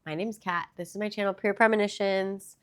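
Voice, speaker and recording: A woman's voice: medium pitch, fairly even intonation, fairly quick, a bit bright. A young woman, a bit congested from a cold, giving a chatty, upbeat tarot reading to her viewers. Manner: chatty, upbeat, with fillers and little laughs. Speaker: tarot reader. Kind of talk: casual tarot reading to camera.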